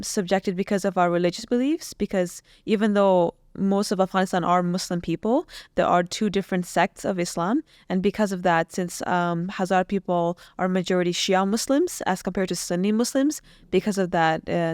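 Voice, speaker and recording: Young woman guest from Iran, smooth clear voice, dry studio sound, earnest and reflective delivery. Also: fairly low pitch, normal speed, few pauses, a little mellow, dry recording. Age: young adult. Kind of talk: podcast interview. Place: small podcast studio. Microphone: boom mic on stand.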